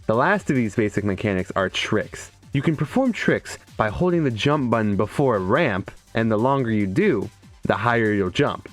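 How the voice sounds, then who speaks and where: A man's voice: fairly low pitch, animated, normal speed, soft background music. A man doing casual, comedic video game commentary with a sarcastic, profane edge. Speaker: gaming video creator. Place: indoors, streaming setup.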